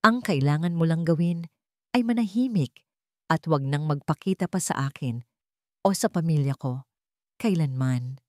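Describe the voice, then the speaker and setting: A woman's voice: low voice, expressive, moderate pace, pauses often, dry recording, studio quality. A woman voicing a cowardly, cold and evasive husband in a radio drama story. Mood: cold, bargaining.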